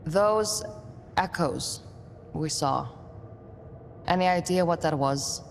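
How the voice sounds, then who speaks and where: A woman's voice: fairly low pitch, takes it slowly, a little hiss or hum. Determined, terse young adventurer woman. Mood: uncertain. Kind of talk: dramatic video game acting.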